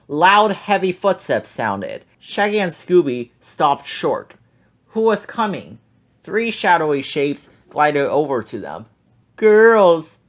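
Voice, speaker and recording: Man reading a children's book aloud. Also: a little high. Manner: expressive, lively read-aloud.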